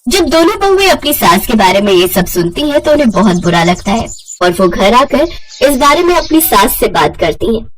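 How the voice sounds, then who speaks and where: Female narrator for scripted family fables: lively intonation, raised pitch, slight noise behind. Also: moderate pace. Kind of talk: scripted moral story narration.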